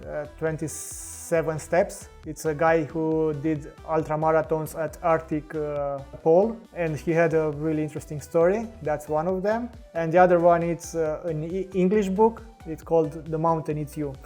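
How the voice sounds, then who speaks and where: A man's voice: on the high side, steady tone, moderate pace, few pauses, darkish tone, faint music behind. A man with a Romanian accent, a podcast guest talking casually in English with frequent restarts and fillers. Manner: hesitant, many restarts and fillers. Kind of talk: casual interview talk.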